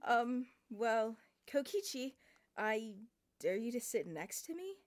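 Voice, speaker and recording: A woman's voice: fairly high pitch, sing-song, slow. A woman voicing a shy, nervous teenage boy in a fan fiction reading, stammering and hesitant. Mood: timid.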